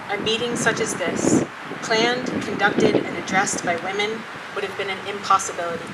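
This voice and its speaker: A woman performing a dignified woman orator of the 1890s, giving a stately, measured speech outdoors at a rally, recorded from a distance. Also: medium pitch, lightly acted. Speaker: actor reading a historic speech. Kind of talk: dramatic recitation of a speech. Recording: distant mic with loud outdoor noise.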